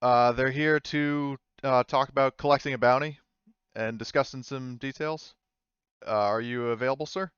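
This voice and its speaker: A man's voice: mid-range voice, fairly even intonation, a bit bright, smooth, no echo, muffled recording, lightly acted. A man voicing a polite, hesitant male receptionist at a space station security office in a tabletop game. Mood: hesitant, deferential. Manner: hesitant, halting.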